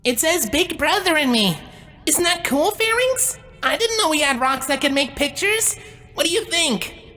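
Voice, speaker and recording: A woman plays a gentle, sensitive younger-brother changeling in an audio drama. The voice is high, bright and very lively, with an earnest, hesitant stammer. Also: moderate pace, in a large hall, faint music behind, heavily acted. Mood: earnest, innocent. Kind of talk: audio drama character acting.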